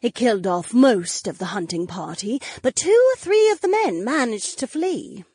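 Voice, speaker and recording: A man's voice: sits high, sing-song, moderate pace, a little mellow, slightly rough, dry recording, voice pushed up, exaggerated voice. A man voicing a strong-willed, assertive noblewoman who speaks in a composed, decisive way. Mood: matter-of-fact.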